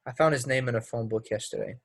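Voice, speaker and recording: A man's voice: medium pitch, quick pace, no room sound, studio quality, close to an everyday voice. A man acting a troubled, defensive teenage boy in a play readthrough, guarded, with folksy ungrammatical phrasing. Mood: hurt, brooding. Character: troubled, defensive teenage boy, small-town drama student. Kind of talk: play readthrough, dramatic dialogue.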